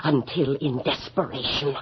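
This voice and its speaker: An actress playing an obsessed, ruthless widowed noblewoman in a gothic horror radio drama, theatrical and commanding, with a husky voice. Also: rumbling low, fairly even intonation, a little slow, background noise. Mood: brooding. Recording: dull vintage radio drama recording.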